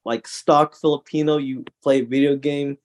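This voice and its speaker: A man's voice: medium pitch, normal speed. A young man co-hosting a podcast, chatting casually and animatedly, laughing often. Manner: animated, laughing, does mock call-out voices. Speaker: podcast co-host. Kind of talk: casual chat.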